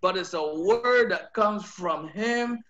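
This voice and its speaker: A man's voice: high voice, slow, fairly bright tone. A man with a Bajan accent, a pastor preaching a sermon over a video call, earnest, often repeating words as he builds his points. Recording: dull lo-fi video call audio.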